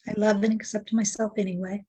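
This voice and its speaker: A woman's voice: mid-range voice, level tone, brisk, mellow, breathy edge. A woman in her sixties, a client in an emotional tapping session, talking candidly and repeating affirmations back to her practitioner. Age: 60s. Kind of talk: echoing affirmations after the practitioner.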